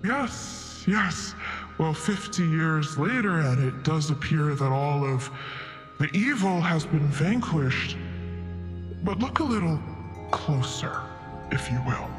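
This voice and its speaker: Man voicing a slow, echoing celestial sage. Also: on the high side, animated. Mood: calm, knowing. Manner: measured, formal, pausing between phrases. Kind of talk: tabletop roleplay in character. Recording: heavily echoing reverb voice over background music.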